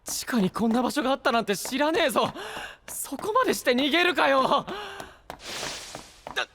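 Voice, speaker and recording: An actor playing a brash, rough-talking young knight and younger brother in an anime-style voice drama. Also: male voice, very high voice, animated, normal speed, fairly bright tone, big-room sound, heavily acted. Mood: frantic, frustrated. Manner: blunt, rough masculine speech.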